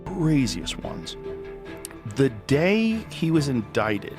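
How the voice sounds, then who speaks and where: A man's voice: mid-range voice, big pitch swings, takes it slowly, over music. A man in casual, conversational discussion, like a podcast speaker.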